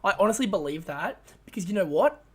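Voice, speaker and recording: A man co-hosts a casual podcast chat, talking in an excitable, emphatic way. His voice is high and very lively, climbing and dropping as he repeats words for emphasis. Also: fairly quick, husky. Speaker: podcast co-host.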